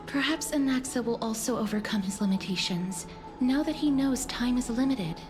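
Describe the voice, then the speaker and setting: A woman playing a gentle, courteous, wistful young woman in a fantasy game, speaking softly and politely. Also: fairly high pitch, normal speed, lightly acted. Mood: wistful hope. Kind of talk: dramatic fantasy game voice acting. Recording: game music behind voice.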